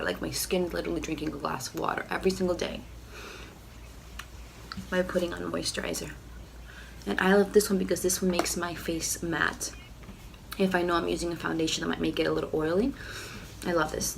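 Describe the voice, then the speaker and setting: A young woman with a cold, talking through a casual makeup tutorial at home in a nasal, rough, low voice, filling her sentences with "like" and "you know". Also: a little hiss or hum. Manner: chatty, lots of "like" and "you know". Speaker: beauty vlogger.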